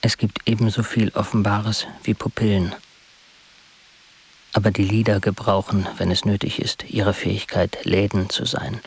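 An actor playing a sombre, defiant poet-witness in a literary radio drama, speaking gravely in declarations. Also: male voice, on the low side, level tone, normal speed, full character voice. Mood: grave, declarative.

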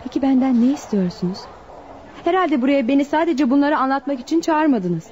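A woman's voice: high voice, expressive, a little fast, a little mellow, slight background noise. A woman playing a bereaved young sister in a radio drama, earnest and probing. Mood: shocked, questioning. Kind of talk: Turkish radio drama acting. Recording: muffled radio drama recording.

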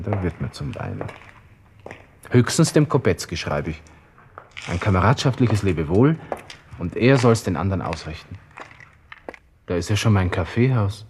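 Brooding low-voiced Viennese young lieutenant. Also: male voice, a little mellow, a little hiss or hum. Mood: resigned, uneasy. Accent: Viennese Austrian. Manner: brooding, talking to himself, shifting between flippant and sentimental. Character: self-pitying, vain young Austrian army lieutenant facing his end. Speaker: radio drama actor. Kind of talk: interior monologue in a radio play.

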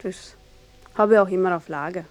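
An actress playing a modest, worried small-town wife with an Austrian accent, answering hesitantly and repeating herself in a documentary-style radio drama. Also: female voice, on the low side, brisk, natural voice. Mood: modest, obliging. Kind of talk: naturalistic mock-documentary interview in radio drama. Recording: music playing in the room behind. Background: classical music on a radio.

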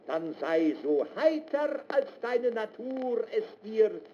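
An actor playing a paternal army lieutenant colonel in a radio drama, lecturing on duty in a lofty, deliberate way. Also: male voice, sits high, sing-song, exaggerated voice. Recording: muffled narrow band, faint noise.

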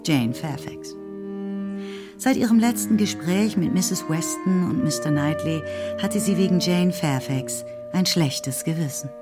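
Low-voiced woman narrating over music. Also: a little slow. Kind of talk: scripted literary narration. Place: radio studio.

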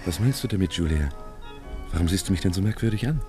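An actor playing a smooth, calculating fortune-hunting man in a German radio play, self-assured and insinuating. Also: deep voice, animated, fast talker, warm tone, soft background music. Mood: challenging. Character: smooth, cocky, calculating male fortune hunter.

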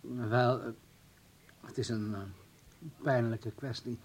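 An actor playing an evasive political administrator of a clinic in a Dutch radio play, placating and often hesitant. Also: male voice, mid-range voice, flat delivery, takes it slowly, a little mellow, a little hiss or hum.

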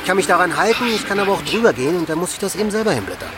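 High-pitched, lively, colloquial expatriate man. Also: noisy background. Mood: casual, matter-of-fact. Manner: colloquial, frank, halting. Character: frank male German expatriate manager, weary and informal. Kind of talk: conversational documentary radio drama.

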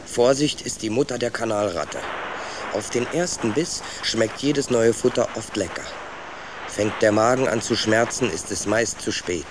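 A man plays a young sewer rat narrating his own tale in a children's radio play. His scripted delivery is low-pitched, with faint ambience behind. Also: a little slow, background noise, natural voice. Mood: knowing.

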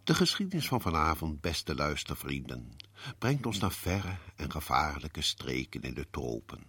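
An actor playing the sinister male host of a horror radio play, narrating with slow, theatrical suspense over music. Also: rumbling low, big pitch swings, a bit bright, breathy edge, dry recording, exaggerated voice. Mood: ominous.

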